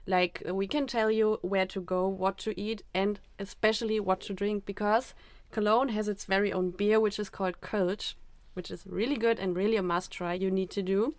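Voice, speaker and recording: A woman's voice: mid-range voice, steady tone, normal speed, darkish tone, no room sound. A young woman co-hosting a student podcast in a conversational style, like an informal guide. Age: young adult. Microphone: close podcast microphone.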